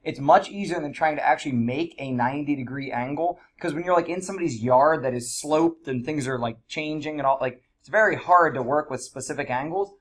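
A man's voice: on the high side, fairly quick, few pauses, a bit bright. Congested man teaching a math class. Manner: casual, chatty explaining with fillers. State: congested from allergies. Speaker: high school math teacher. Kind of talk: classroom lesson.

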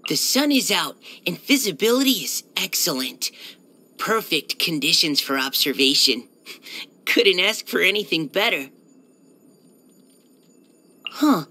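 A woman's voice: on the low side, heavily acted. A woman voicing a polite, earnest young male military surveyor in a video game, with a hesitant, helpful manner. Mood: observant. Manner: hesitant, soft-spoken politeness, eager to help. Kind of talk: video game character voice-over.

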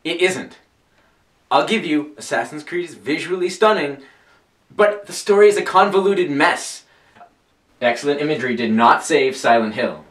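An opinionated young geek played by a man in a comedy sketch, emphatic and skeptical. His pitch is fairly high and swings a lot. He is recorded a bit away from the mic in a room. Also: animated, moderate pace, a bit bright. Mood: argumentative, dismissive. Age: young adult. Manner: emphatic, argumentative. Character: opinionated argumentative male geek, skeptical and dismissive. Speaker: actor in a web comedy sketch. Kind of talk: scripted comedic debate. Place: small furnished room indoors. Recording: roomy, mic some distance away.

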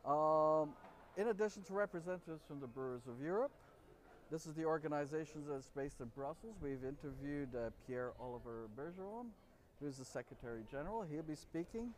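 A man's voice: fairly high pitch, lively intonation, fairly quick, slight background noise, low-quality recording. A man in his forties co-hosting a casual chat in an outdoor bar courtyard, reading from a prompter and stumbling over names. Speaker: podcast co-host.

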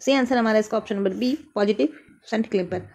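A woman teacher reads exam questions and answer options aloud. She reads the questions slowly, then explains them quickly in Hindi. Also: medium pitch, gravelly, a little mellow.

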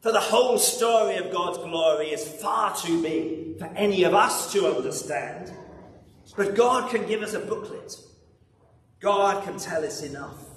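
An older man in his sixties or above preaching a sermon with emphatic delivery, dramatic pauses and rhetorical repetition. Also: a little high, a bit bright. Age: 60s or older. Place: large church interior at a lectern. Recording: echoey church, distant mic, background noise.

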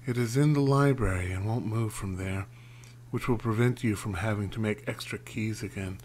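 A man's voice: fairly low pitch, moderate pace, a little mellow, a little hiss or hum. A man streaming a horror game, chatting and reading in-game notes aloud, sometimes stumbling over names. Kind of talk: let's play commentary and reading notes aloud.

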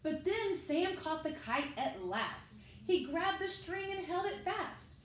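Animated, high-voiced woman reading to children. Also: slow pace. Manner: animated, addresses children directly. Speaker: school teacher reading to pupils. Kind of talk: children's read-aloud, picture book storytelling. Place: living room at home, indoors. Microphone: camera a few metres away. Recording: echoey room with faint noise.

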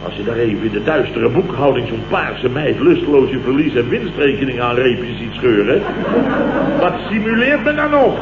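An actor playing an exasperated, sarcastic office boss in a blustering manner, in old radio comedy style. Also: male voice, mid-range voice, very animated, normal speed. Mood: sarcastic, indignant. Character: indignant, sarcastic male office boss telling his night's ordeal. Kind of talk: 1970s Dutch radio comedy acting. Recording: muffled, noisy, roomy old radio recording.

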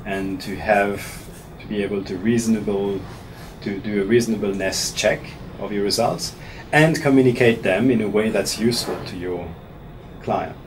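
A man from Switzerland in his thirties, a professor answering interview questions in a small office in a measured way, often restarting his words. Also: fairly low pitch. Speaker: actuarial professor being interviewed. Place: small office meeting room, seated at a table. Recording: room recording with audible air conditioning hum.

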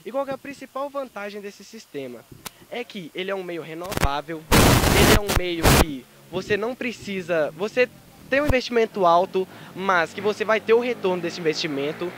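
A man's voice: sits high, expressive, fairly quick. A young man explaining a solar energy project step by step at a school fair. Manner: methodical, explanatory. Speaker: student presenter. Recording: noisy outdoor recording.

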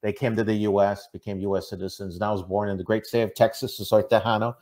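A man's voice: on the low side, level tone, quick pace, a little mellow. A middle-aged man from the American Midwest giving earnest, conversational interview answers, often checking in with "right?". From Minnesota, United States. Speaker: interview guest, diversity consultant. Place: indoor room, on a video call. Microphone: video call microphone.

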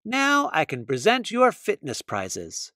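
A man voicing a kind female fox schoolteacher in a storybook, speaking in a high, encouraging voice. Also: huge pitch swings, takes it slowly, bright, forceful tone, dry recording, studio quality, exaggerated voice.

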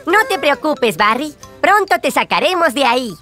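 A woman's voice: high-pitched, sing-song, moderate pace, sharp tone, faint music behind, exaggerated voice. A woman playing a cheerful, helpful gecko mechanic in a children's cartoon, exclaiming and explaining things to young viewers. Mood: excited, urgent. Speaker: cartoon lead and narrator. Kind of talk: animated children's show dialogue dubbed in Spanish.

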